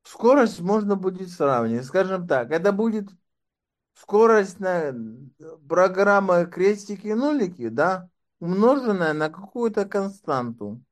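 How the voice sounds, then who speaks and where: Wry, sardonic man with raised pitch. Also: animated, unhurried. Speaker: interview guest, doctoral candidate. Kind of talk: casual conversation.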